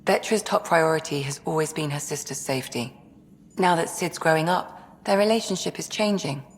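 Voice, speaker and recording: Woman acting low-voiced, perceptive crew counselor. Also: in a large hall, natural voice. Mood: calm, reassuring. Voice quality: low, slightly gravelly. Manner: measured, reassuring. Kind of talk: video game dialogue acting.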